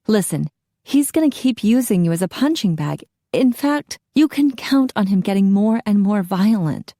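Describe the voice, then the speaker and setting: A woman's voice: medium pitch, lively intonation, moderate pace, warm tone, dry recording, clean studio sound, pitched above the performer's own voice. A woman voicing a young female police officer who speaks firmly and urgently. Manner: firm, urgent, commanding.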